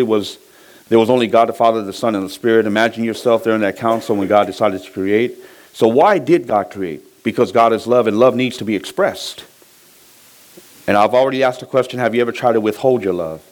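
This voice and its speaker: A middle-aged man from Samoa, a pastor preaching a sermon, asking his congregation to finish his sentences. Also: medium pitch. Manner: lively preaching, asks the congregation to fill in words.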